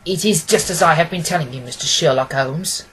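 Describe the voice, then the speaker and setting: A woman's voice: low voice, fairly quick, slight background noise, lightly acted, rough recording. A woman voicing a plain-spoken, earnest male pawnbroker who rambles a little, in a storybook reading.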